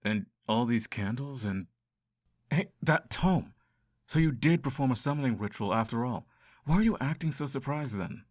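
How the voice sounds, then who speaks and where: A man's voice: mid-range voice, lively intonation, moderate pace, no room sound, close to an everyday voice. A man voicing a sardonic, seductive male incubus in an ASMR roleplay, theatrical and dryly sarcastic. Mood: incredulous, exasperated. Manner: theatrical, sighing, dry sarcasm.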